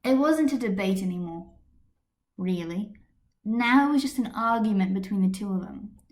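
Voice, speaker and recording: A woman's voice: mid-range voice, animated, moderate pace, darkish tone. A woman reading a scripted story as an amateur narrator, recorded on a built-in computer microphone. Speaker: amateur fan fiction reader. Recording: echoey room on computer microphone.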